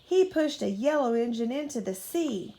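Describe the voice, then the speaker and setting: A woman voicing a spiteful male diesel engine from a children's storybook, loud, menacing and accusing. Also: fairly high pitch, lively intonation, normal speed, gravelly, pitched above the performer's own voice.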